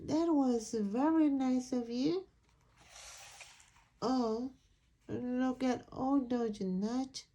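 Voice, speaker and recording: A woman voicing a small, polite, innocent duckling in a soft, simple storybook manner. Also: sits high, very slow, pauses often, a little hiss or hum, exaggerated voice. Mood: sweet, polite. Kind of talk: picture book read-aloud character.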